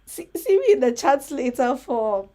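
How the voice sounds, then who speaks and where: Playful, high-voiced woman chatting off the cuff. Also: a little slow. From Africa. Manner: playful, giggly, self-mocking, easily sidetracked; animated, emphatic, repeating herself for effect. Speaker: host of a chat vlog. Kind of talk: casual, rambling livestream chat to viewers.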